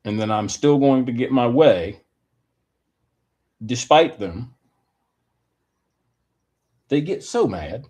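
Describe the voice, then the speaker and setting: A man giving a rambling personal opinion monologue in a deliberate, halting manner with wordy, formal phrasing. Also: medium pitch, lively intonation, mellow.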